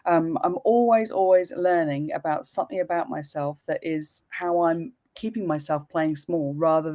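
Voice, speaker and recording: A woman's voice: fairly low pitch, dark tone. A woman in her fifties, a business mentor speaking as a guest in a live video chat, frank and upbeat and ready to drop a casual swear. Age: 50s.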